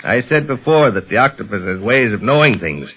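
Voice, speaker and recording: An actor playing a calm, authoritative police chief in a vintage radio drama, speaking in a measured, commanding way. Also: male voice, medium pitch, husky. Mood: firm. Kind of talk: 1930s radio acting. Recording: dull lo-fi old radio recording.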